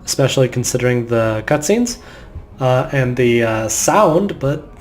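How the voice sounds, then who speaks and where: A man doing let's play commentary in a mid-pitched voice. He rambles casually and offhandedly, with faint game music behind him. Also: lively intonation, a little slow. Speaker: gamer narrating a playthrough.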